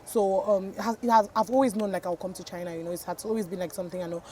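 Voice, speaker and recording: A woman's voice: mid-range voice, steady tone, racing, dark tone, slight background noise. A young woman from Cameroon giving a casual interview answer, chatty and peppering her speech with "like". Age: young adult. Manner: chatty, heavy use of "like". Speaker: interviewee, foreign resident.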